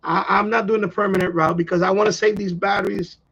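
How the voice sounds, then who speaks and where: A man's voice: high-pitched, steady tone, quick pace, gravelly, fairly bright tone, husky, highs cut off. A man hosting a hobby live stream, chatting casually in a rambling way and often repeating himself.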